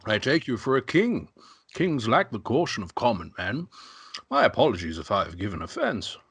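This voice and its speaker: A man playing a smooth-tongued, obsequious magister and host in an amateur script table read, speaking with unctuous deference. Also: on the low side, huge pitch swings, normal speed, fairly bright tone, no echo, heavily acted. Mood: cautious, deferential.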